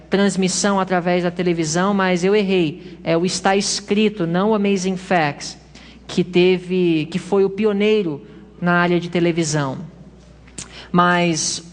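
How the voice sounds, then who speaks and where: Woman giving a church history talk, mid-pitched, explains slides, fixes her own slips, long hall echo. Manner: explanatory, with small hesitations and self-corrections. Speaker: lecturer at a church congress.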